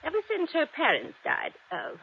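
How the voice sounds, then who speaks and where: An actress playing a wealthy, dramatic widow in an old-time radio drama, guarded and dismissive in manner. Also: female voice, sits high, huge pitch swings, normal speed, creaky, exaggerated voice. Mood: dismissive, confiding. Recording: noisy, muffled vintage radio recording.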